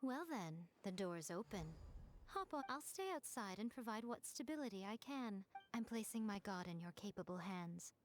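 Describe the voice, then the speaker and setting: A woman's voice: medium pitch, animated, normal speed, close to an everyday voice. A woman voicing a sly, elegant, self-assured fox shrine maiden in a video game, with a teasing, condescending manner. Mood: commanding, earnest. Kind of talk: video game character acting.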